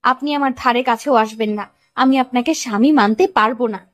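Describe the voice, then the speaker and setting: A woman's voice: a little high, moderate pace, no room sound, lightly acted. A woman playing an upset young bride in an audio love story, cold, blunt and insistent. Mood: cold, defiant.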